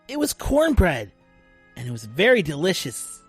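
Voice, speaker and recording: A voice actor playing an awkward young man who rambles and later yells in frustration. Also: medium pitch, wildly swooping pitch, moderate pace, breathy edge, soft background music. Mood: sheepish.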